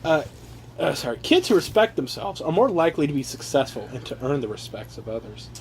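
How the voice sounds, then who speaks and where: A young man with a fairly high voice reads aloud in a mock-earnest way and drops into joking, sweary chat. The recording is amateur, with some noise around him. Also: big pitch swings, a little fast. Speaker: reader among his brothers. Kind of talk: joking read-aloud with casual asides. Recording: noisy amateur home recording.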